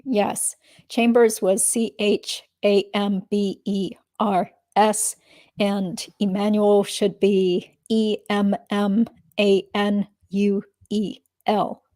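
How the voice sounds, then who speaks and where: A woman's voice: mid-range voice, level tone, slow, warm tone, no room sound, studio quality, close to an everyday voice. A woman reading the part of a guarded witness testifying in a legal deposition. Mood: guarded, matter-of-fact.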